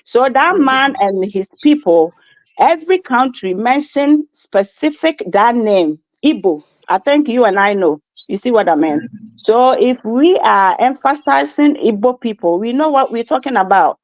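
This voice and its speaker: A woman's voice: on the high side, lively intonation, unhurried, no echo, rough recording. A woman from Ghana, a guest on a call-in talk show, talking emphatically and often asking "you see what I mean". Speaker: guest caller.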